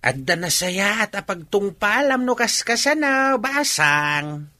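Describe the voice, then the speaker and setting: A man's voice: sits high, big pitch swings, takes it slowly, bright, forceful tone, dry recording, heavily acted. An actor with a Filipino Ilocano accent playing a talkative, teasing man in a radio comedy-drama. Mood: sly teasing.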